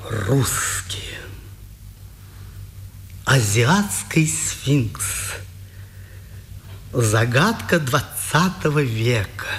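A man acting a high-ranking, pompous Nazi official in a 1950s radio drama, measured, oratorical and insinuating. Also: animated, a bit bright, exaggerated voice. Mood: condescending.

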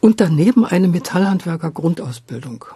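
Woman over 70, husky, dark tone, close dry sound, thoughtful interview guest, reminiscing. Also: on the low side, animated, normal speed, dry recording. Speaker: interview guest, radio drama director.

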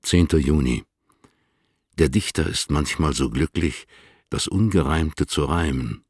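An actor playing a dignified older poet in a German radio play, formal and gentle, with a deep, gravelly, husky voice. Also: male voice, measured pace, dry recording, clean studio sound, full character voice. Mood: gracious.

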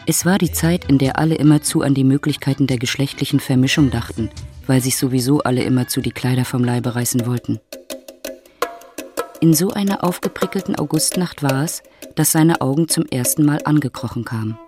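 A man's voice: medium pitch, brisk, darkish tone, faint music behind. A man narrating a literary radio drama in a scripted storytelling style.

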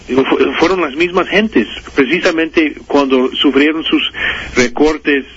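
Man doing political commentary, slow n halting, muffled radio sound w faint noise. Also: a little high. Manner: halting, searching for words.

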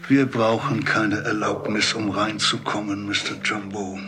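An actor playing a coldly polite, menacing government agent in a radio drama. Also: male voice, on the low side, flat delivery, a little slow, noisy background, natural voice. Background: music.

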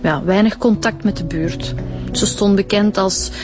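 An actress playing a brisk Flemish police inspector who reports facts plainly, in a radio drama. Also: female voice, medium pitch, music in the background, lightly acted.

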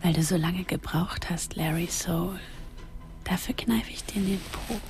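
An actress playing a blunt, teasing, world-weary young woman in a radio drama, with a husky, creaky voice and a frank way of talking. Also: lowish voice, unhurried, darkish tone. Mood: intimate whisper.

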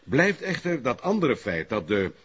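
Husky, pompous Flemish burgomaster. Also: male voice, mid-range voice, big pitch swings, measured pace. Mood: formal, firm. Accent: Flemish Belgian. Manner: formal, wordy officialese. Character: pompous, evasive middle-aged male burgomaster. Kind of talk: TV crime drama acting. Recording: muffled, lo-fi broadcast audio.

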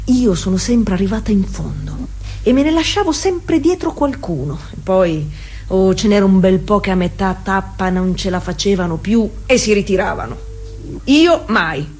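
A woman's voice: medium pitch, expressive, normal speed, a little mellow, husky. A woman playing a proud, stubborn Italian racing cyclist of the early twentieth century in an emphatic, colloquial theatre monologue. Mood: proud, defiant. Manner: emphatic, colloquial storytelling addressed to an absent listener.

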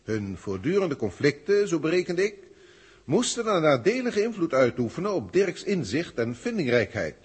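A man with a Netherlands Dutch accent playing a haughty, learned alien senior scientist in a 1960s radio drama, speaking in formal, pedantic, theatrical phrases with a husky voice. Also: fairly high pitch, very animated, moderate pace, exaggerated voice. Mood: formal, reporting.

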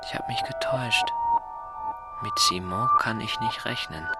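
A man playing a brooding observer who reads out his notes in a German radio drama, measured and judging. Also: lowish voice, over loud music, natural voice. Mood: brooding, disappointed. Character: brooding man noting observations about an acquaintance. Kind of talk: written notes read aloud in a radio drama.

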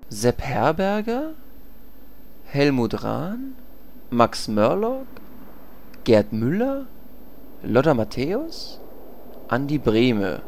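Slow, meek male adventurer acted. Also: medium pitch, huge pitch swings, gravelly, a little mellow, slight background noise, exaggerated voice. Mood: puzzled. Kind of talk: parody fantasy audio drama acting.

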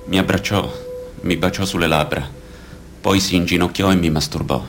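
A man's voice: low voice, steady tone, normal speed, over music. A man reading scripted reports and testimony in a radio documentary play. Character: male victims recounting teenage abuse by priests.

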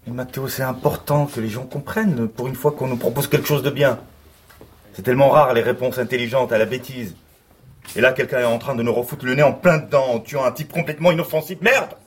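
An actor playing an earnest, idealistic and easily agitated police colleague in a naturalistic audio drama, talking in a wordy way. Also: male voice, medium pitch, steady tone, fairly quick, breathy edge, lightly acted. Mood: upset, indignant. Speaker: actor in a fiction podcast.

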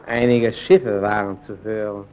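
An actor in a German radio play playing a solitary lighthouse keeper haunted by the sea, speaking haltingly and intensely, swelling and sinking to a near murmur. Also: male voice, on the low side, flat delivery, lo-fi sound, heavy background noise, close to an everyday voice. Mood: hushed, haunted.

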